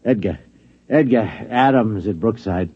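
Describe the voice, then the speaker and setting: An American actor playing a flustered, middle-aged lab security chief in a 1950s radio drama, stammering, insistent and coaxing. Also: male voice, lowish voice, measured pace, slightly rough. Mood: urgent, pleading. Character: flustered, pleading security chief of an atomic laboratory. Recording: muffled old radio recording.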